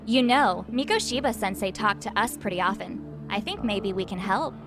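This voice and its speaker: Woman voicing a cocky, smooth-talking teenage schoolboy. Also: medium pitch, animated, normal speed, music in the background, close to an everyday voice. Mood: sly, casual. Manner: slangy, smooth-talking, mock-polite. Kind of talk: video game cutscene English dub acting.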